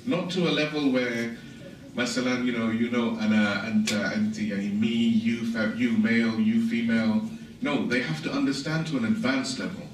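A man's voice: medium pitch, fairly even intonation, normal speed. A man from England giving an informal religious lecture, explaining things conversationally with asides. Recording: echoey hall, voice from far off, faint hiss.

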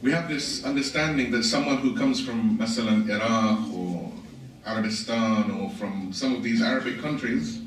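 A man's voice: mid-range voice, moderate pace, crowd noise. Man lecturing in a very echoey hall. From England. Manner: conversational, explanatory, with asides and self-corrections. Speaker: religious lecturer. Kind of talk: informal religious lecture. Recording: echoey hall, voice from far off, faint hiss.

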